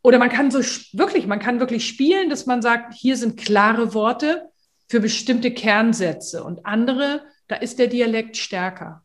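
A middle-aged woman, a voice and speech coach, explaining in a lively, chatty way in a casual podcast conversation. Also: a little high, measured pace.

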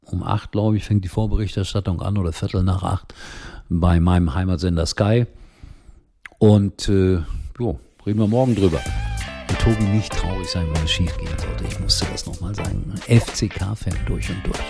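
An older man hosting a casual daily football talk show, chatty and rambling with lots of fillers, a little hoarse from a cold. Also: low voice, normal speed, music in the background. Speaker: football commentator and show host.

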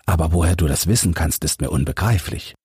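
A man's voice: extremely low pitch, a little fast, a little mellow, breathy edge, no echo, studio quality, heavily acted. A male narrator voicing a courteous, friendly doctor in an audiobook, polite and a little puzzled by his clever friend.

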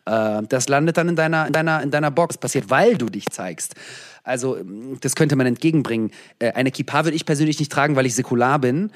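A man from the former Soviet Union answering interview questions thoughtfully, with frequent pauses, fillers and restarts. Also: medium pitch. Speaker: guest.

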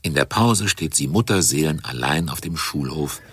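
A man playing a solemn, sympathetic narrator in a children's audio drama, with a husky voice. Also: very deep voice, animated, moderate pace, a bit bright, no room sound, exaggerated voice.

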